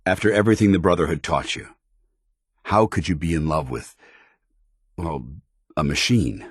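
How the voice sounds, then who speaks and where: Gruff synthetic soldier, extremely low voice, husky, stern yet vulnerable, measured formal delivery, game companion dialogue. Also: male voice, expressive, no echo, clean studio sound. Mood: hesitant, uneasy. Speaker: video game voice actor. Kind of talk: video game companion dialogue, dramatic acting.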